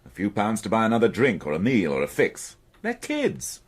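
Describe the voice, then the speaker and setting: Male police inspector, radio crime drama. Husky, very animated, dry and defensive. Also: fairly low pitch, normal speed, heavily acted. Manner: dry, sardonic.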